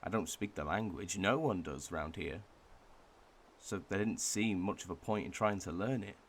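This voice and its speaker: A man playing a wistful Welshman, delivering a pensive, measured monologue in a radio drama. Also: deep voice, a little hiss or hum. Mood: melancholy, conflicted. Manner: pensive, measured, with thoughtful pauses. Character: wistful Welshman reflecting on his home village and heritage.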